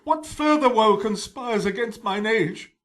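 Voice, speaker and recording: Man as grieving old lord in shakespeare radio play, high tense voice, slow. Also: expressive, fairly bright tone. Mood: sorrowful. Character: grieving old nobleman, bitter and sorrowful father. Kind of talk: Shakespearean radio drama verse.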